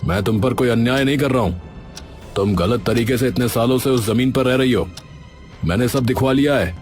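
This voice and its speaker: A man playing a scheming village headman in a cartoon story, smooth at first but bossy and dismissive. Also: medium pitch, fairly quick, faint music behind, lightly acted. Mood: stern, bullying. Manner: falsely friendly, then bossy and dismissive. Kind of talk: Hindi cartoon story acting.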